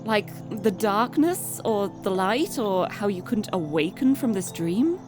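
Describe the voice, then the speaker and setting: A woman's voice: medium pitch, expressive, unhurried. A woman from the UK with a British accent, live streaming a game, chatting and reading aloud. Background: music.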